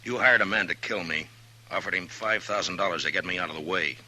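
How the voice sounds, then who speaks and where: US marshal in old radio western. American, deep and piercingly forceful, coolly menacing, goads his opponent. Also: fast, full character voice, poor audio. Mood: cold, firm. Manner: blunt, commanding, coolly goading. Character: tough, unhurried frontier marshal, coolly menacing.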